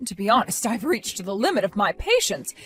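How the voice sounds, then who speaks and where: A woman's voice: mid-range voice, sing-song, a little fast, a bit bright, soft background music. A woman playing a furious scolding older woman. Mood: indignant. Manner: accusing, scolding. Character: furious indignant older woman caring for a baby.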